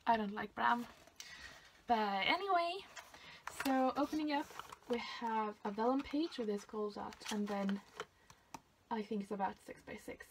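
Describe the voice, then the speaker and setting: A woman's voice: a little high, measured pace, a little hiss or hum, low-quality recording. A young woman from Sweden with a Swedish accent, chatting casually and hesitantly through a craft album, with many fillers. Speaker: craft vlogger. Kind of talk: casual show-and-tell.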